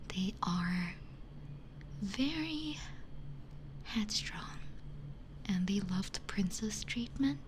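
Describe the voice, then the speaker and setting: A woman streams ASMR in a soft, mostly unvoiced whisper. She muses conversationally as she talks to her chat, pausing between short phrases. The binaural mic is very close, with noticeable ambient noise behind her. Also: mid-range voice, poor audio. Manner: hushed, unhurried conversational musing with pauses. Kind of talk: ASMR, chatting with live chat. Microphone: close binaural ASMR microphone.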